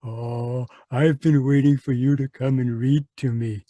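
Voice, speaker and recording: A man's voice: medium pitch, fairly even intonation, measured pace, mellow, dry recording, natural voice. A man playing a childlike, violent asylum patient who whines and pleads, then turns menacing, in a radio drama. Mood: pleading. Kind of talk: live radio drama reading over video call.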